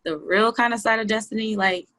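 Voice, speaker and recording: A woman chatting casually on a group video call, animated and slangy, often giggling. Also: mid-range voice, brisk. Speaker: cast member being interviewed.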